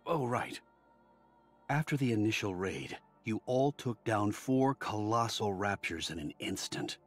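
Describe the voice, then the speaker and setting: A man's voice: on the low side, expressive, a little slow, faint music behind. An actor voicing an earnest, admiring but cautious man in a video game, talking like an articulate storyteller. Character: earnest, admiring, cautious male fan of war heroes.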